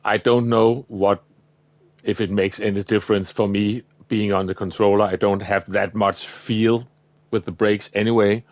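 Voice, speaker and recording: A man's voice: on the low side, moderate pace. A man with a Danish accent, live-streaming a racing game and chatting to viewers while he drives. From Denmark. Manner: relaxed, thinking aloud while driving. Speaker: livestreamer. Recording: loud game engine noise behind. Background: racing car engine sounds.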